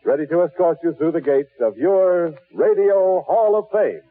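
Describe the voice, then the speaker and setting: A man's voice: a little high, normal speed. Tinny 1940s radio announcer man. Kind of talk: 1940s network radio sign-off. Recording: old radio, phone-like narrow band.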